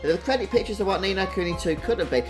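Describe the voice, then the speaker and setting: A man's voice: sits high, expressive, racing. A man giving casual live stream commentary as a game streamer. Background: game music behind the voice.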